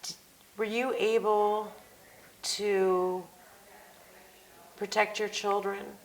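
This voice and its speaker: American woman interviewer, mid-pitched and measured. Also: flat delivery, rough recording. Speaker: interview host. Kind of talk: conversational personal interview.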